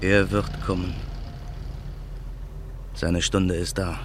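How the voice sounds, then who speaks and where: A man's voice: sits low, level tone, slow pace, slight background noise. An actor playing a vengeful man who broods in a grim inner monologue in a radio drama. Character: vengeful man waiting in a car at night. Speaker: narrator and protagonist. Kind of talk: 1950s radio drama acting.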